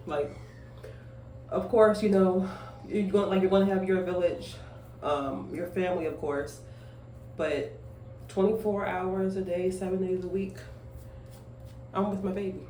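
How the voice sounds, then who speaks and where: A woman's voice: fairly low pitch, a little mellow. Chatty young woman in an echoey room. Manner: chatty, rambling, filler-heavy, emphatic "okay?" asides. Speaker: vlogger, young single mother. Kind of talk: casual chat while grooming. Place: at home. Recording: echoey room, voice off-mic, faint noise.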